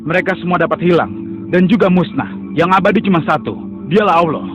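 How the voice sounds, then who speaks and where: A man's voice: high-pitched, brisk, lightly acted. A man voicing an earnest young male prophet who pleads with and admonishes idol worshippers in a dubbed cartoon. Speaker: voice actor. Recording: dull lo-fi audio with music.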